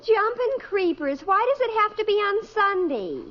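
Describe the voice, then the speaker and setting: A sulky American teenage daughter whines in a high voice with theatrical exasperation. It is vintage radio comedy acting, very animated, on a dull old recording. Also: female voice, normal speed. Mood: indignant. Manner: whiny, melodramatic complaining.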